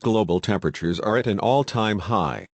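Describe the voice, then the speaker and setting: A man's voice: lowish voice, normal speed, background noise, lightly acted. A man playing a serious weather forecaster reading out emergency warnings. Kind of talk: mock weather bulletin.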